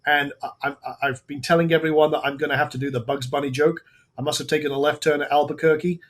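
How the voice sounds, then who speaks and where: Slightly high-voiced man going hoarse. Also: fairly even intonation, fast talker. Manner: casual, talks through on-screen steps with frequent restarts. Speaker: webinar host. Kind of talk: live software tutorial with Q&A.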